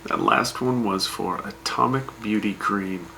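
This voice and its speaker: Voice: low voice, a little slow, gravelly, loud music behind the voice. A performer voicing a wry, teasing radio host who introduces old jingles. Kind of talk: fan radio drama.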